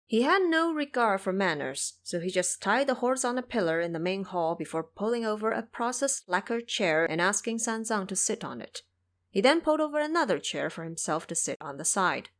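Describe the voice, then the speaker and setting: Animated woman narrating a story. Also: medium pitch, a little fast.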